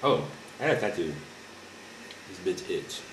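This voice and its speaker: A man's voice: sits low, big pitch swings, a little slow. A man of nineteen co-hosting a podcast, teasing his friend in laid-back slang. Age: young adult. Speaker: podcast co-host. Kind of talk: casual chat. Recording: distant echoey noisy room sound.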